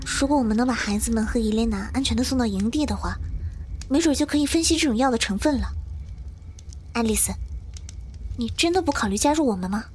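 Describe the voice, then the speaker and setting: A woman's voice: on the high side, fast, long pauses, darkish tone, slight background noise, natural voice. A woman playing an earnest, anxious young survivor in an audio drama, with emotional, pleading delivery. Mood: hopeful.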